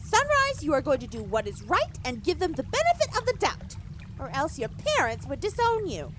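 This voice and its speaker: A woman's voice: high-pitched, huge pitch swings, a little fast, heavy background noise. A woman voicing a bossy, irritatingly cheerful inner voice of a pony in an audio drama, scolding and insistent.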